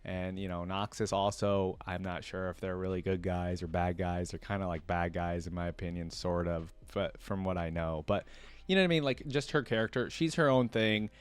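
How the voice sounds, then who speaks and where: A man's voice: low voice, steady tone, moderate pace, clean tone. A man hosting a reaction video, reading a story aloud and adding casual, conversational fan commentary.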